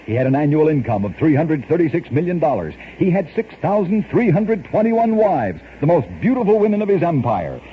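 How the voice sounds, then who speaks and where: A man narrates in a brisk, old-time radio announcer manner, his voice pitched a little high and swinging widely up and down. The recording is muffled and lo-fi, with faint hiss underneath. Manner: brisk announcer delivery of odd facts.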